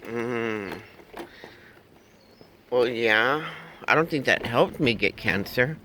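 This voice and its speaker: A man's voice: mid-range voice, lively intonation, slow pace, bright, forceful tone, slight background noise. A man in his fifties chatting in a car in a rambling, joking way, chuckling and humming silly tunes. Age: 50s. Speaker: vlogger. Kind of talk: rambling casual chat.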